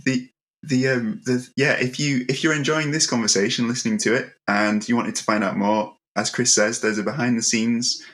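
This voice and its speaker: A man hosting a casual interview, enthusiastic but halting, often repeating words as he goes. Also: medium pitch, fairly even intonation, fairly bright tone. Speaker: interview host.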